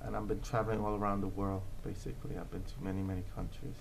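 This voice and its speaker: A man's voice: sits low, flat delivery, fast talker, gravelly, slight background noise, low-quality recording. A man in his thirties from Norway, answering interview questions in an earnest, hesitant way with many fillers and restarts. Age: 30s. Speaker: interview guest, comic book creator.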